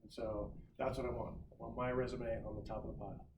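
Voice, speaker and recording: A man's voice: medium pitch, little pitch movement, fast talker. A man speaking as a guest recruiter in a class, giving career advice in a loose, conversational way with lots of ums and restarts. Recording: roomy, distant mic, noisy.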